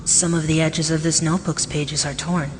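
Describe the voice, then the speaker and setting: A woman in an anime fan dub playing a calm, coolly superior young male detective genius, speaking in a measured, deliberate way. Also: deep voice, a little hiss or hum, close to an everyday voice. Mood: cool, assured.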